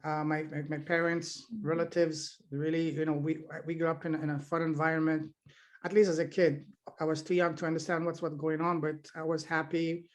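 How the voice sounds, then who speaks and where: A man's voice: on the high side, little pitch movement, a little fast. A middle-aged man from Afghanistan answering interview questions about his childhood, with many fillers and restarts. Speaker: podcast host being interviewed.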